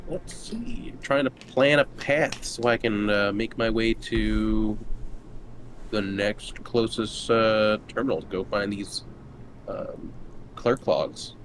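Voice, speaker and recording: A man's voice: on the low side, fairly even intonation, slow. A man playing a tabletop game, chatting casually. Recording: noisy background ambience.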